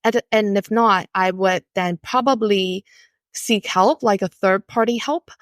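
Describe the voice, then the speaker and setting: A woman from Taiwan talks as a podcast guest, choosing her words with care and pausing often. Her pitch sits mid-range for a woman, and the recording is close and dry with little room sound. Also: no echo. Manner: measured, thoughtful, with frequent um fillers. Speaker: guest, company co-founder. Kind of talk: podcast conversation.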